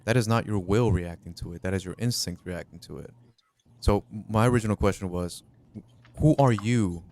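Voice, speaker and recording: Man, 30s, low voice, reflective co-host of a relaxed talk show. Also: moderate pace. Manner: reflective, thinks aloud with restarts. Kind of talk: casual late-night chat.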